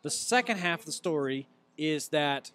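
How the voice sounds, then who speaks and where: A man's voice: a little high, lively intonation, moderate pace, bright, forceful tone. A man hosting a casual sports show, mixing play-by-play calls with teasing, argumentative banter. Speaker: host.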